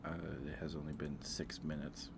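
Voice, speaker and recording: A man's voice: a bass voice, monotone, moderate pace, background noise. A man hosting a casual livestream, thinking aloud in a hesitant way. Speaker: livestream host.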